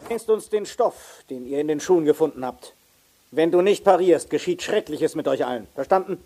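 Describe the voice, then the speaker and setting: Threatening radio-play crook, high-pitched but dark, curt and menacing. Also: male voice, lively intonation, fairly quick. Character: menacing drug gangster making threats on the phone. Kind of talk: German radio play acting.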